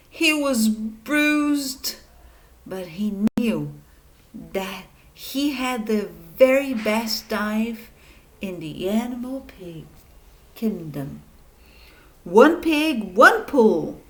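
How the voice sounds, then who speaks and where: A woman reading a children's picture book aloud, expressive and dramatic, with emphatic pauses. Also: fairly high pitch.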